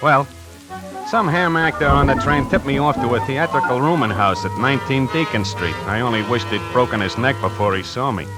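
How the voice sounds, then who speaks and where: A man's voice: medium pitch, brisk, over music, lightly acted, poor audio. An actor playing a streetwise, nervous young tie salesman in a 1940s radio play, tough-talking and slangy, on an old radio recording. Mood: uneasy. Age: young adult. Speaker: lead actor narrating.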